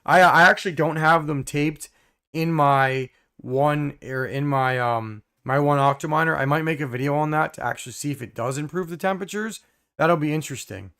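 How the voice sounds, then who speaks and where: A hoarse young man hosting a casual live stream, reading viewer comments with many fillers and throat clearing. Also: on the high side, moderate pace, fairly bright tone.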